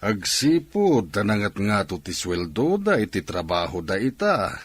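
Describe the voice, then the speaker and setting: An earnest factory man played by a man in an Ilocano radio drama. His voice is fairly low and swings in pitch as he makes his case with firm emphasis. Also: big pitch swings, measured pace, breathy edge, full character voice. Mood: earnest, insistent. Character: appreciative factory man, firmly defending his hardworking employees.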